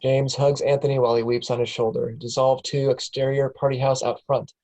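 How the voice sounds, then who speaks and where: A man's voice: mid-range voice, little pitch movement, a little fast, warm tone. A man narrating stage directions matter-of-factly at a film script table read.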